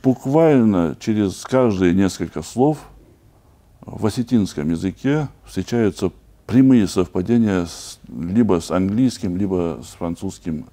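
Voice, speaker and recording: A man from Chechnya, a podcast guest, speaking in a measured, earnest way in complete reflective statements. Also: low voice, animated, warm tone. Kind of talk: conversational podcast discussion.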